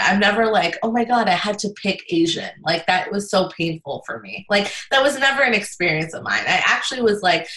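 A woman's voice: fairly low pitch, fairly bright tone. A young American woman, a community organizer, answering interview questions in a casual, thoughtful way, with lots of "like" fillers. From United States. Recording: echoey room recording.

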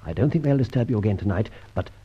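Suave, husky gentleman thief, dull sound. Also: on the low side, expressive, fast. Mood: breezy, commanding. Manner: breezy, witty, upper-class turns of phrase. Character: suave gentleman adventurer thief, cool, jaunty and commanding. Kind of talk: old radio drama acting. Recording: muffled old radio recording.

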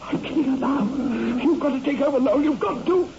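An actor playing a tormented, highly strung surgeon in a 1960s radio horror drama, dramatic and moving between hushed and agitated speech. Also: male voice, brisk. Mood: panicked, trembling. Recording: muffled radio recording with heavy noise.

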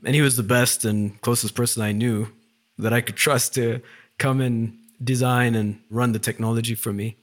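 A man in his forties from Ethiopia, a company CEO appearing as a guest, telling his story in a relaxed, reflective way with occasional fillers. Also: medium pitch, normal speed. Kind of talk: conversational storytelling.

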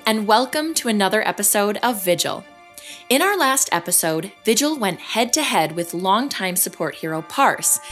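A woman hosts and narrates an audio drama in a measured storytelling way. Her pitch moves a lot, rising and falling through each sentence. Faint music plays behind her. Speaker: narrator and host. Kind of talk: scripted narration.

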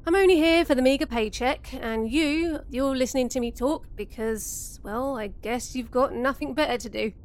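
A woman's voice: high-pitched, normal speed, hardly any pauses, close to an everyday voice. A woman playing a bitter, sardonic podcast host in an absurd comedy audio drama, jaded and mock-solemn.

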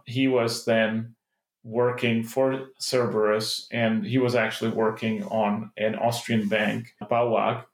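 A middle-aged Czech-born man, a private equity partner, giving measured, explanatory interview answers with some restarts. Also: medium pitch, reverberant. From Czech Republic.

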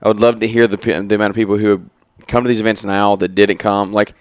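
A man's voice: fairly low pitch, little pitch movement, fast, no room sound. A man in his twenties chatting on a podcast at a trade expo, talking in loose run-on sentences full of restarts. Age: 20s. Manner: rambling, many restarts and "like"s. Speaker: podcast co-host, married to co-host. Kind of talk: casual podcast conversation.

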